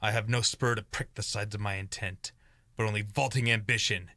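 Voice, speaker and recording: A man's voice: lowish voice, fairly even intonation, fairly quick, pushed and bright, no room sound. A young man acting a troubled, ambitious nobleman who plots murder, in earnest Shakespearean verse for a student radio play. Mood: brooding, conflicted. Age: young adult. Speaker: student actor.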